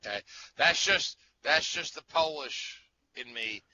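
A man's voice: a little high, animated, a little slow, bright, forceful tone, a little hiss or hum. A man guesting on a podcast, talking in an enthusiastic, joking way. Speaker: motorsport writer, guest. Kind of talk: casual chat. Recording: dull lo-fi sound.

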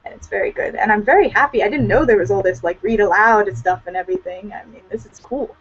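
A woman's voice: mid-range voice, fast, slightly rough. A teenage young woman from Hawaii chatting informally as a guest on a video call, often saying "like" and "you know". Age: teens. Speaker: student guest. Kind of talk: casual video-call chat. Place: small room at home. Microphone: computer on video call. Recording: dull lo-fi video-call audio.

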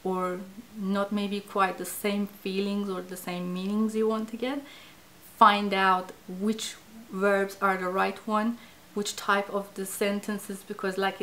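A woman in her thirties from Iran with a Persian accent, a native Farsi speaker, talking reflectively to camera in a small indoor studio and often pausing to search for words. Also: mid-range voice, a bit bright, slight background noise, low-quality recording. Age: 30s. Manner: hesitant, searching for words, many fillers. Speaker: interviewee, language project participant. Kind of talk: reflective interview talk to camera.

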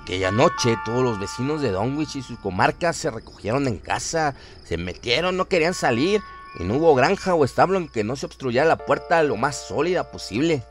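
A man's voice: mid-range voice, lively intonation, a little fast, few pauses, over music. A man narrating a horror story in a dramatic, scripted storytelling style. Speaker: narrator of horror audio stories.

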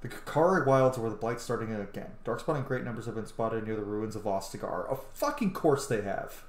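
A man in his thirties doing excitable, casual game commentary and reading game text aloud, swearing freely. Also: mid-range voice, fairly quick. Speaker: streamer playing a video game. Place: small indoor room. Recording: roomy, echoey home recording.

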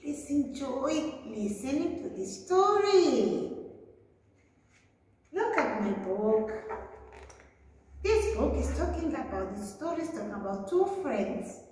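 A young adult woman preschool teacher reading a story to small children in a deliberate, singsong way, recorded in a classroom. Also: high voice, very animated, a little mellow. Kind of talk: children's read-aloud story lesson. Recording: very echoey hard-walled room.

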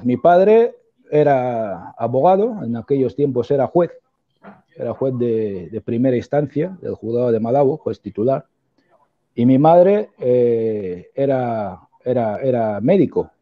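A man in his forties from Equatorial Guinea, speaking earnestly as an interview guest over a video call, with many fillers and tag questions. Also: mid-range voice. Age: 40s. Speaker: guest, opposition politician. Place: indoor room at home. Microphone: earphone headset on video call. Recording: tinny video-call audio.

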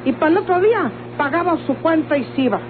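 An actress playing a feisty, unpolished young daughter in an old radio drama, blunt and colloquial, with a rural Mexican accent. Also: female voice, sits high, expressive, fast talker. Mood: defiant. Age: young adult. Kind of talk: old radio-novela acting. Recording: noisy, humming, tinny old radio recording.

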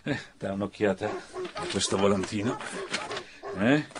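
A man's voice: fairly low pitch, measured pace, noisy background, lightly acted. An actor playing a cynical, defiant Fascist militiaman in a radio drama, vehement and conspiratorial. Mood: defiant, sardonic.

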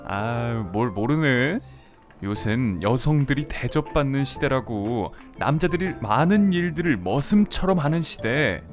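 Brief: male actor as a shy, flustered man in his 30s, slow, hesitant delivery, over background music. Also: medium pitch, lively intonation, close to an everyday voice. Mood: fretful. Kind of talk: amateur audio drama acting. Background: soft background music.

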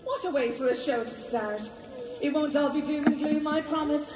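An actress playing a cheerful, self-regarding pantomime narrator who speaks in a sing-song, theatrical way. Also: female voice, high-pitched, normal speed, noisy background, natural voice. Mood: bright, welcoming. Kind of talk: stage pantomime storytelling. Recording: echoey stage, distant, loud music.

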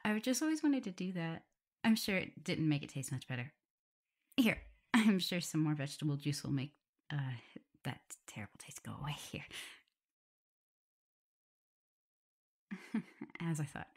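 Woman playing a low, soft-spoken caring werewolf girl. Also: expressive, moderate pace. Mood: fond, reassuring. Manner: soft, soothing, hesitant, giggly. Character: gentle, caring, playful omega werewolf girl nursing her partner. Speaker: audio roleplay performer. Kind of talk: soft ASMR roleplay, intimate one-sided dialogue.

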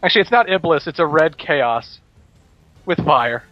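A man's voice: fairly high pitch, expressive, moderate pace, lo-fi sound. A man co-commentating casually on a video game with friends, explaining how it works. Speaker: gaming co-commentator. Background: video game music.

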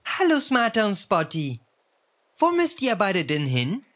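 A woman's voice: medium pitch, wildly swooping pitch, unhurried. A woman voicing a perky, helpful talking smartphone that gives directions in a children's cartoon, with music under the voice. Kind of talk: children's cartoon dialogue with chanted jingle.

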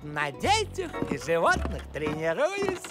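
A man's voice: a little high, huge pitch swings. A meddlesome cartoon village postman. His intonation rises and falls freely, pompous and self-satisfied, like an official addressing the public. Music runs underneath. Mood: smugly polite. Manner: officious, pompous, drawn-out phrases. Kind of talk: animated cartoon voice acting. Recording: music behind the voice.

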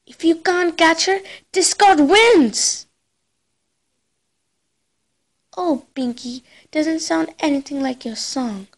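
A woman voicing a bookish young pony princess from a cartoon, earnest, excitable and dramatic in her delivery. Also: very high voice, slow pace, full character voice. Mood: exasperated. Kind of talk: cartoon character acting.

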